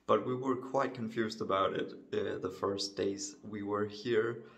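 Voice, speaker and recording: Slow, gravelly young man chatting casually. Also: fairly low pitch, fairly even intonation, fairly bright tone. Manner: slow, amused, laughing easily. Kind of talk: casual chat to camera.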